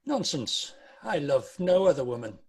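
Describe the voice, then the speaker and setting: Man acting old miser, high-pitched voice, frightened, stubborn, amateur drama table read. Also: sing-song, moderate pace, a little mellow, slightly rough, heavily acted.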